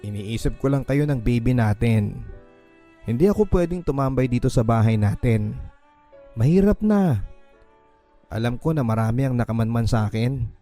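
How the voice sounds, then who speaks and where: A man's voice: mid-range voice, moderate pace, long pauses, mellow, soft background music, lightly acted. Man voicing earnest young Filipino husband, radio drama. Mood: serious, wary. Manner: earnest, serious. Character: worried young husband in hiding, earnest and protective. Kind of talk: Tagalog radio drama dialogue.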